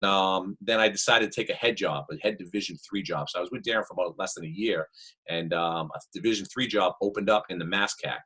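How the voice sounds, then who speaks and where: Forceful deep-voiced Boston man. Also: quick pace, husky, rough recording. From Worcester, Massachusetts. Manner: rambling, full of "you know" and "um". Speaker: guest basketball coach. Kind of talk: casual interview chat.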